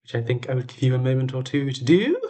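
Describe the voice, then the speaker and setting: A man's voice: medium pitch, big pitch swings, quick pace, warm tone. A man with a runny nose hosting a casual livestream, talking in a chatty, self-deprecating way. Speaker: livestream host and audio drama maker.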